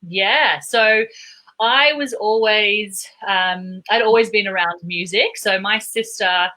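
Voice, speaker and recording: Australian woman guest on a video call, measured and thoughtful, bright tone. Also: medium pitch, low-quality recording. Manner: thoughtful, measured, with small restarts. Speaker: guest, performance psychologist. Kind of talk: casual video-call interview.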